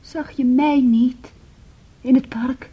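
Knowing young woman, husky, slightly high pitch, intimate, pointed, reproachful, possessive, radio play. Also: fairly even intonation, slow, muffled recording, exaggerated voice. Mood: probing, wistful. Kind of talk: Dutch radio play acting.

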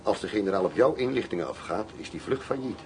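A man's voice: expressive, fast, darkish tone, natural voice. A man playing an unflappable, curt and commanding undercover agent in a radio drama. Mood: cool, defiant. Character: cool, defiant undercover agent posing as arms buyer. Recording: noisy radio play, vehicle rumble.

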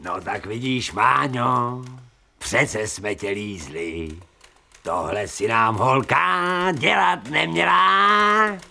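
A man's voice: mid-range voice, creaky, bright, forceful tone. An actor playing a coarse, vulgar young man who was once a dog, with slurred street talk in a radio drama. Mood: scolding.

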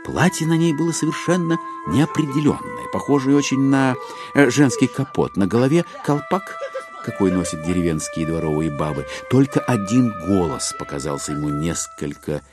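A man with a lowish voice narrates a literary audio drama. His intonation rises and falls widely, and he places deliberate pauses between phrases. Music plays behind him. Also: animated.

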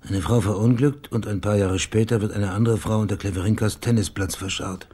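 An actor playing a dry, laconic male private detective with a husky voice in a radio play. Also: fairly low pitch, steady tone, a little fast, dark tone. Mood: dry, probing. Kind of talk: naturalistic radio play acting.

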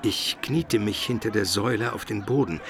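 A man playing a grave Byzantine minister who recounts his escape in a measured, solemn radio drama narration. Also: lowish voice, a crowd in the background, lightly acted. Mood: hushed, wondering.

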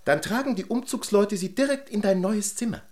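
A man's voice: high-pitched, fairly quick, a little mellow, natural voice. A man playing a busy, coaxing father of a young girl in a children's radio drama. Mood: brisk coaxing. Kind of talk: natural radio drama acting.

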